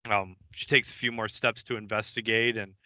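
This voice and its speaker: Bright, crisp-voiced man recapping an episode. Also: lowish voice, level tone, brisk, dry recording, dull audio. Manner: loose, joking retelling with many fillers. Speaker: podcast co-host. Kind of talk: casual episode recap chat.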